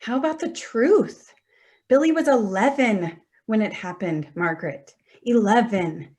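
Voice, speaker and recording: A woman's voice: fairly high pitch, animated, measured pace, darkish tone. A woman acting in a staged play reading as a hurt adult daughter, insistent and accusatory. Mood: accusing. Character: Danielle, wounded, accusing adult daughter confronting betrayal.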